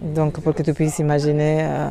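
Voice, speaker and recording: Guest: middle-aged woman, low flat voice, halting delivery. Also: a crowd in the background. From Colombia. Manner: hesitant, many pauses and fillers. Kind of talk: interview conversation.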